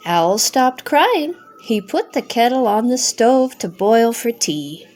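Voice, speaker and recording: Slow-paced grandmother reading a bedtime story. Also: a little high, big pitch swings, a little mellow. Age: middle-aged or older. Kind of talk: children's storybook narration.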